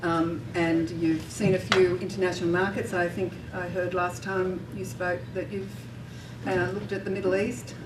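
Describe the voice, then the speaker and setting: A woman's voice: lowish voice, level tone, normal speed. A woman moderating a casual roundtable, prompting the group with friendly questions. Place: meeting room roundtable. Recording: echoey room from far off, background noise.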